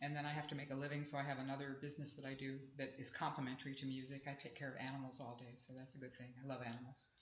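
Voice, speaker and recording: A woman's voice: extremely low pitch, completely flat delivery, racing, hardly any pauses. A woman in her sixties, a singer-songwriter, talking in a chatty, reflective way in an interview at a festival. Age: 60s. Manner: chatty, warm and reflective, rambling. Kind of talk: casual interview at an outdoor festival. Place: festival grounds. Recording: echoey, dull live field recording.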